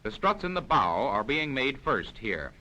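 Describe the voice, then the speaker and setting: A man's voice: mid-range voice, sing-song, measured pace, sharp tone, poor audio. A man reading scripted narration for a 1940s military instructional film in a formal, precise, explanatory manner.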